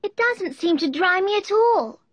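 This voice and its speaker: A man's voice: very high voice, huge pitch swings, drawn-out words, no room sound, voice pushed up, heavily acted. A man voicing a melancholy, puzzled little girl in a plaintive manner.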